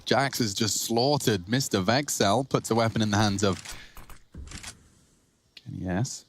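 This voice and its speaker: A man with a British accent giving lively play-by-play commentary on an esports match. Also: fairly low pitch, measured pace, background noise.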